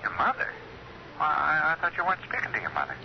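A man's voice: a little high, wildly swooping pitch, fast talker, vocal fry, full character voice. An actor playing a puzzled, reluctant young man, hesitant and questioning, in a 1970s radio drama. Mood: puzzled, yielding. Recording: dull radio broadcast, faint noise.

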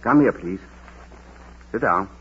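An actor playing a kindly parish priest, thoughtful and measured, in a Golden Age radio drama. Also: male voice, lowish voice, animated, a little hiss or hum. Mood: gently persuasive. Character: gentle, wise, patient male parish priest. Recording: dull old radio drama recording.

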